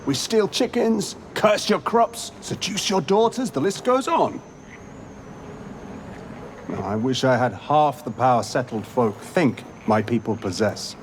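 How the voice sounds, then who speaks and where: A man's voice: mid-range voice, animated, measured pace, natural voice. A man playing a courteous, sardonic wandering monster hunter in a video game, speaking formally. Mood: wry. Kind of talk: video game character acting. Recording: clear background noise.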